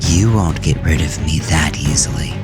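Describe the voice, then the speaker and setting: A man's voice: extremely low pitch, moderate pace, over music, pitched below the performer's own voice. A man voicing a sneering, taunting, bloodied undead woman in a horror audio story. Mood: sneering menace.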